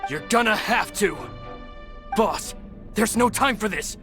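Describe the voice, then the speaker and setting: High, urgent loyal underling. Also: male voice, lively intonation, slow. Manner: urgent, protective. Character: loyal young male gang underling. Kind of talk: game voice acting. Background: game music.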